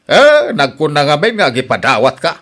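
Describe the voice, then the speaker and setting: Man acting a sharp-voiced, grumpy Ilocano villager. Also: on the high side, huge pitch swings, moderate pace. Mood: resentful. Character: stingy, grumpy, spiteful village fruit-tree owner. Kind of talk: Ilocano radio drama acting.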